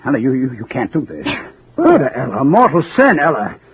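A man's voice: medium pitch, wildly swooping pitch, brisk, breathy edge, heavily acted. An actor playing a bullying invalid husband who sneers at his wife's threats, then pleads with her, in old-time radio drama style. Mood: pleading. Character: brutal invalid husband, scornful then begging. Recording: muffled lo-fi old radio recording.

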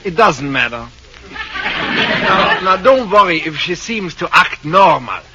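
An actor playing a fussy, self-important psychiatrist in an old-time radio comedy. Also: male voice, high-pitched, big pitch swings, takes it slowly, pushed and bright, slightly rough, a faint crowd, exaggerated voice. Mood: officious. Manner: officious, fussy. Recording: dull old radio recording, slight noise.

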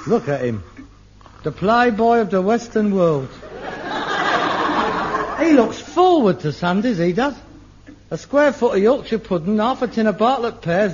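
An actor playing a frustrated middle-aged son, theatrical, swinging from wistful muttering to manic ranting in a sitcom. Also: male voice, high voice, background noise. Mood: scornful musing. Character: frustrated middle-aged son, a rag-and-bone man with grand dreams. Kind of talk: 1970s TV sitcom acting. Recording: dull old TV soundtrack.

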